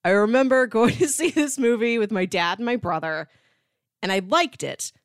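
A woman's voice: a little high, normal speed, no echo, clean studio sound. A woman in her thirties co-hosting a podcast, talking casually about movies. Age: 30s. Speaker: podcast co-host.

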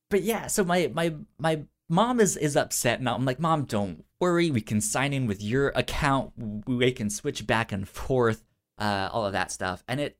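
A man chatting casually as a podcast co-host, stuttering on first sounds of words and acting out conversations as he tells stories. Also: medium pitch. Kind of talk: casual storytelling chat.

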